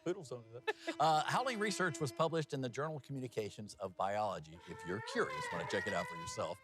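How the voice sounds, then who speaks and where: Animated male news anchor, very lively pitch. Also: fast talker. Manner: jokey, folksy, conversational. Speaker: TV news anchor and feature reporter. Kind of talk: chatty newscast banter and scripted narration.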